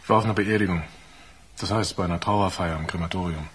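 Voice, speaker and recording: A man's voice: low voice, fast talker, fairly bright tone, a little hiss or hum. An actor playing a troubled, reproachful working man in a radio drama. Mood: earnest. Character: troubled, conscience-stricken, reproachful male workman.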